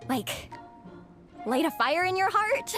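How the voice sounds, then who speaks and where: A woman voicing an earnest, excitable young female comic fan. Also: very high voice, slow, heavily acted. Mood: eager. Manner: eager, earnest. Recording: game cutscene with background music.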